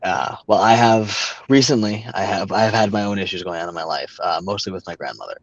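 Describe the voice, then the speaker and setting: Deep-voiced young man, halting delivery. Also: no echo, highs cut off. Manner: halting, many fillers and restarts. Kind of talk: casual storytelling.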